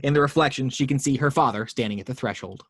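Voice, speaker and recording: A man's voice: medium pitch, fast talker, a bit bright, breathy edge, dry recording. A man narrating the action lines of a screenplay at a home table read, reading steadily with small stumbles on a close microphone.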